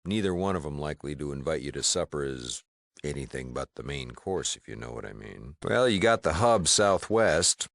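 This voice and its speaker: An actor playing a gruff, plain-spoken mayor and shopkeeper of a frontier town in a video game, with an American accent and a wry, folksy drawl. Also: male voice, moderate pace, fairly bright tone, no room sound. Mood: dry, welcoming. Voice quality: deep, gravelly. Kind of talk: video game dialogue acting.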